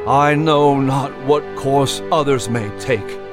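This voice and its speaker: A man's voice: medium pitch, steady tone, over music, lightly acted. A man playing a fervent colonial patriot orator in an audiobook, with impassioned, declamatory delivery. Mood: anguished resolve. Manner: impassioned, declamatory, deliberate pacing. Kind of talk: audiobook character acting, oratory.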